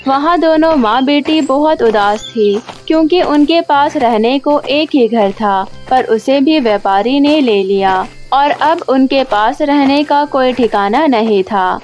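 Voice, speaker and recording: A woman tells a story in scripted narration. Her voice is high, and music runs behind her as she reads. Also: slow, few pauses, darkish tone, muffled recording. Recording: music under the voice.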